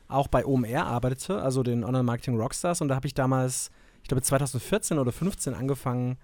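A man with a faint Saxon accent, a guest chatting casually on a podcast and often pausing with hesitation fillers. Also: mid-range voice, no echo, studio quality. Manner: relaxed, lots of hesitation fillers. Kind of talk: casual conversation.

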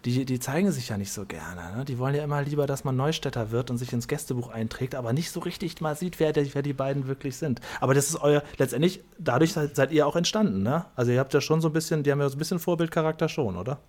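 A man co-hosting a casual podcast chat, telling dating stories in an animated way with frequent laughter. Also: mid-range voice, fast talker, few pauses. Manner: animated storyteller, laughs often. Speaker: podcast host.

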